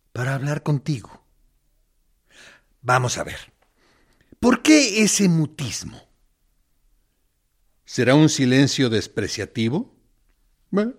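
An actor playing a smug military interrogator in a radio play, speaking in a theatrical, sardonic, mock-courteous way with quiet menace. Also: male voice, medium pitch, heavily acted. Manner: deliberate, sardonic, condescending, with theatrical pauses. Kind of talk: theatrical radio drama monologue.

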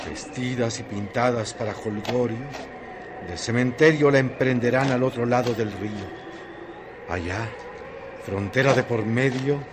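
A man giving dramatic scripted narration for a radio drama. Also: mid-range voice. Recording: noisy background ambience.

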